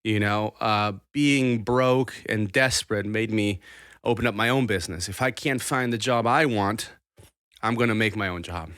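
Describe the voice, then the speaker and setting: A man's voice: fairly low pitch, normal speed, fairly bright tone, clear, resonant tone, dry recording, clean studio sound. A man in his thirties from Argentina, a podcast guest talking casually in a rambling, self-interrupting way. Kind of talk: casual conversation.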